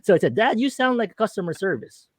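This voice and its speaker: A man's voice: sits high, big pitch swings, fast talker, no echo, studio quality. A middle-aged man with a Filipino accent, co-hosting a casual streamed talk show in an upbeat manner with frequent fillers. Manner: upbeat, hesitant with many fillers. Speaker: podcast co-host. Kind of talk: casual chat.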